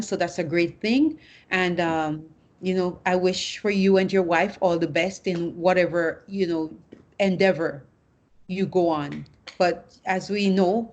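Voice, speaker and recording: Woman host, somewhat low voice. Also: muffled recording. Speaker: interview host. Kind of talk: interview hosting.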